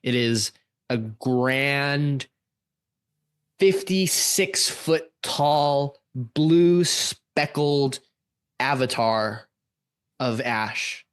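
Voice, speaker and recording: A man narrating an improvised drama as a podcast performer, with deliberate, grandiose phrasing. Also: mid-range voice, lively intonation, smooth.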